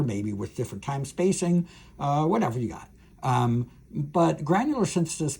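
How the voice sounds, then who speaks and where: A man gives a technical university lecture in a medium-pitched, somewhat husky voice. He explains step by step in a halting manner, often pausing with filler sounds and restarting as he thinks aloud. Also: a little mellow.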